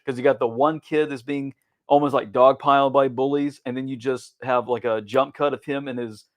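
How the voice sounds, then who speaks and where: A middle-aged male podcast co-host chats casually in a medium-pitched voice. He recounts and describes things informally, as in a relaxed conversation between friends. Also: moderate pace. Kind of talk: casual chat, recounting TV memories.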